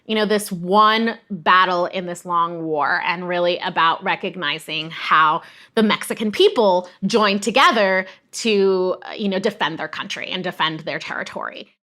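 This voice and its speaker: Woman, very bright tone, emphatic, didactic, conversational history explainer, podcast guest. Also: fairly low pitch, normal speed. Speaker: guest expert, museum director of education.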